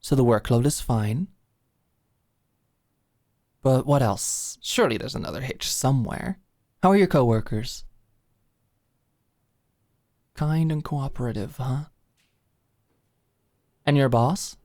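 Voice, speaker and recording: A woman's voice: rumbling low, lively intonation, takes it slowly, long pauses, dark tone, dry recording, clean studio sound, full character voice. A woman playing an affectionate, fussing and coaxing ex-manager girlfriend in an intimate ASMR roleplay. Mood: probing, curious. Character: doting, eager, dominant ex-manager girlfriend at home.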